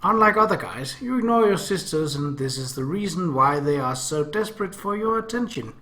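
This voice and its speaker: A man's voice: a little high, lively intonation, fairly quick, sharp tone. A man voicing a blunt, cocky teenage classmate handing out insistent advice in a visual novel.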